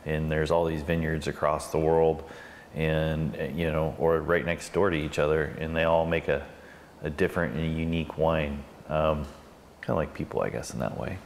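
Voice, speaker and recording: Very deep-voiced man, unhurried and hesitant. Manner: relaxed, hesitant, many fillers. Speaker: interviewee. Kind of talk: oral history interview. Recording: long hall reverb, faint background noise.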